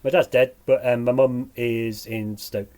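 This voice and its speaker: A man with a northern English accent tinged with Sheffield, chatting as a podcast guest in a halting, wry way. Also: medium pitch, little pitch movement, mellow. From England. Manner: halting, self-correcting, dryly wry. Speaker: podcast guest, musician and teacher. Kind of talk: casual podcast conversation.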